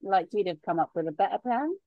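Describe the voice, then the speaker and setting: A woman's voice: mid-range voice, lively intonation, fast, dry recording, lo-fi sound, close to an everyday voice. A woman on a video call reading the part of a polite but mischievous young woman, speaking wryly. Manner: wry, playful.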